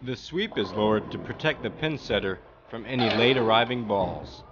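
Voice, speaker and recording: A man giving a plain, measured voice-over that explains how a machine works. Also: lowish voice, lively intonation. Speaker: narrator. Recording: dull lo-fi sound with clear background noise.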